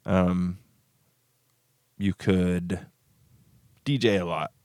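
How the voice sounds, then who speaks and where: A man co-hosting a podcast, talking in a relaxed, wry way and thinking aloud. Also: low-pitched, drawn-out words, no room sound, studio quality. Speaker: podcast co-host. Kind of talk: casual chat.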